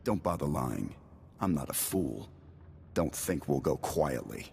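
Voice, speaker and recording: An actor playing a wry, polite but calculating pirate leader in a video game, speaking with measured hesitations. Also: male voice, rumbling low, very animated, vocal fry, a little mellow, big-room sound, slight background noise, exaggerated voice. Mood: cold, resigned. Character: smooth-talking male pirate leader, wry, bargaining, calculating.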